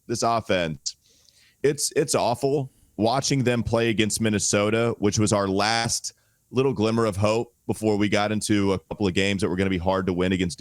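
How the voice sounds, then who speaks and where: A man's voice: lowish voice, no echo, studio quality. A male sports podcast co-host, under the weather and holding his voice back, talking with wry, exaggerated gloom. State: under the weather, voice strained and quiet. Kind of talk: casual sports talk.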